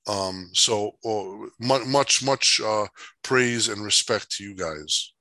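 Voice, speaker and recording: Male interview host, chatty and digressive, sharp forward tone, close dry sound. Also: lowish voice, slow, dry recording. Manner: rambling, many fillers and restarts; uses long analogies. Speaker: podcast host. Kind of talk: casual interview chat.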